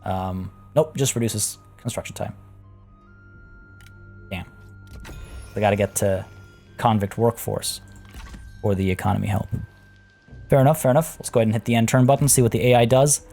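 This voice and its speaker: A relaxed man with a low, warm voice walks through his strategy game turn by turn. He sounds slightly hoarse, as if losing his voice. Faint game music plays quietly behind him. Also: normal speed, long pauses. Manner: relaxed, thinking aloud with many fillers. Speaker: let's play host. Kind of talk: casual gameplay commentary.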